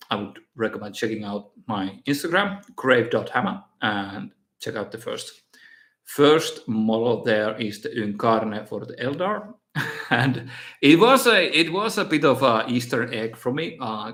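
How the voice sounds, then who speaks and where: Finnish man hosting a relaxed live Q&A at home; mid-range pitch, halting delivery with frequent restarts. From Finland. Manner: hesitant, many fillers and restarts. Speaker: miniature painting streamer. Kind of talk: casual live stream Q&A.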